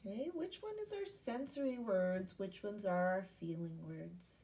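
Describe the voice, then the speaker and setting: Slow-talking woman teaching young children, distant mic. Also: medium pitch, lively intonation, mellow. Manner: instructional, asks questions and repeats key points. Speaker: primary school teacher. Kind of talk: interactive read-aloud lesson for young children.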